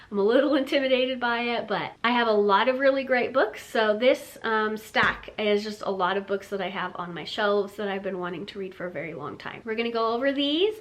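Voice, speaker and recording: A woman talks through her reading plans in an easygoing, chatty vlog manner, her voice a bit nasal and stuffed up from a cold. She rambles warmly, leaning on fillers and soft laughs, at an ordinary mid pitch for a woman. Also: fairly quick. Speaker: book vlogger. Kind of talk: casual book haul chat.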